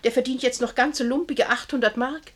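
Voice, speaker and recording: An actress playing a genteel, self-excusing judge's wife in a radio play, with an affected, husky voice. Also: female voice, high-pitched, fairly even intonation, normal speed. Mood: indignant. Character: thin, genteel, embarrassed judge's wife selling on the side.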